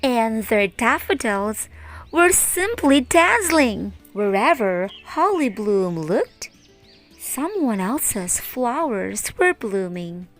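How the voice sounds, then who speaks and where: A woman teacher narrating a children's storybook aloud in a deliberate, expressive way. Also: a little high, soft background music. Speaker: teacher reading stories aloud.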